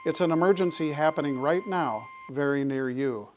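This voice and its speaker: Higher-pitched man presenting safety information. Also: normal speed, darkish tone, a little hiss or hum. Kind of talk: scripted public safety narration.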